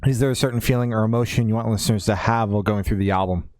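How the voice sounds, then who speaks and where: A man's voice: fairly low pitch, fairly even intonation, rapid-fire, a little mellow, no room sound, clean studio sound. A man hosting a podcast, asking casual interview questions with laid-back enthusiasm. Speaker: podcast host.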